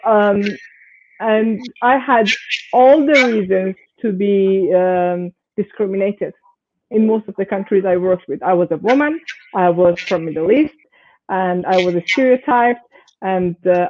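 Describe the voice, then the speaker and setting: A woman's voice: mid-range voice, a little slow, slight background noise. A woman from Iran speaking as a panelist in an online discussion, hesitant with many fillers and restarts. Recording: tinny phone-like call audio.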